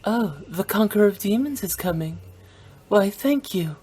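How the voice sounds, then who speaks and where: A woman's voice: medium pitch, expressive, moderate pace, dark tone, breathy edge. A woman voicing a playful, teasing young woman director in a video game, with theatrical storytelling. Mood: confident. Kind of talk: scripted video game voice acting.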